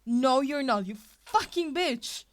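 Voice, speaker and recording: Woman doing a raging teen boy in a fanfic read, high screechy voice, super explosive and sweary. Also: lively intonation, takes it slowly, no room sound. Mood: enraged. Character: furious screaming teenage boy, shrill and explosive. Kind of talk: expressive fan fiction reading.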